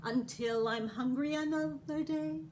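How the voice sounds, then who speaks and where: Foolish young man of a folk tale, played by a woman, slow, high, sing-song spellcasting. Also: a little mellow, slight background noise. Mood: gleeful chanting.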